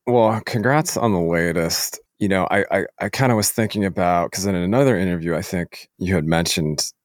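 A man hosting a casual podcast interview, putting questions in a halting, roundabout, informal way. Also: deep voice, no echo, studio quality.

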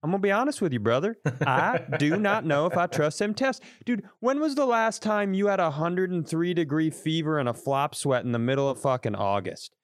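Man doing a folksy doubting good old boy, quick and raised, smooth voice. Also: a little high, lively intonation, voice pushed up, natural voice. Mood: doubtful. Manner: folksy, conspiratorial, calls the listener brother.

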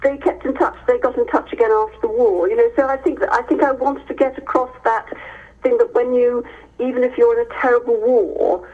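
A woman's voice: on the high side, phone-quality sound. An English woman, middle-aged or older, answering as a guest author in conversation, telling stories with emphatic repetition. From Hereford, England, near the Welsh border.

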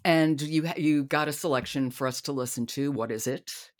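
A woman's voice: sits low, moderate pace. A woman hosting a podcast, chatting casually about books with her guest. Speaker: podcast host. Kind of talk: casual book chat.

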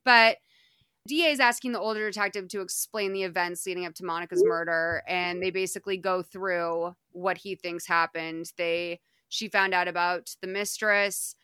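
A woman with a bright, crisp voice chats casually as a podcast co-host, rambling through her thoughts with lots of fillers. The sound is dry and close. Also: lowish voice, moderate pace, no echo. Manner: rambling, filler-heavy, animated. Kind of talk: casual chat recapping a documentary.

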